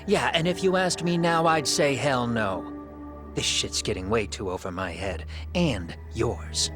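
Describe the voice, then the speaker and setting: A man's voice: mid-range voice, measured pace, over music, close to an everyday voice. An actor playing a weary, reluctant handyman in a video game, slangy and resigned. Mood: resigned, apologetic. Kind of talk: English video game voice acting.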